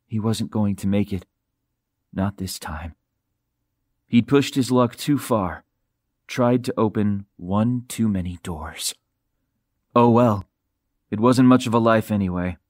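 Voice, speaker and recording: A man's voice: medium pitch, no echo. Man narrating suspenseful fiction, dry studio sound. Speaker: audiobook narrator. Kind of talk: dramatic scripted fiction narration. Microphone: close studio microphone.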